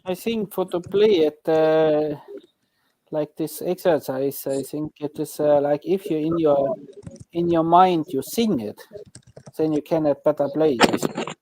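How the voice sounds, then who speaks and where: A man speaks up in an online class, his voice a little high and still hoarse from being sick. He hesitates and searches for words. Typing clicks sometimes sound behind him. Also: a little slow, a little mellow, slight background noise, poor audio. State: voice still hoarse after illness. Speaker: class student.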